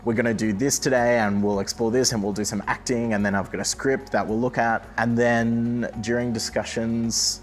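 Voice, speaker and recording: A man, a youth arts director, speaking in a reflective, explanatory documentary interview. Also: lowish voice. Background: soft music.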